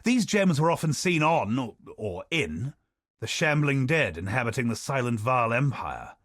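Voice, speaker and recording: A curious, honor-seeking scholar of an explorer in a video game. His voice rises and falls in lively swings and stays close, with no room sound at all. He keeps slipping in dry, wry asides while recounting old records. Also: mid-range voice, no room sound. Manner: dry wry asides, measured storytelling delivery. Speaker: expedition companion character. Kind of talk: studio video game voice lines.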